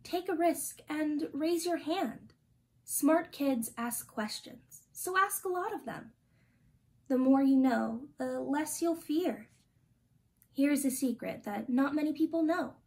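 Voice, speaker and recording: A woman in her twenties reading a children's picture book aloud with deliberate, gently expressive phrasing. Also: high-pitched. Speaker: storytime reader. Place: small indoor room.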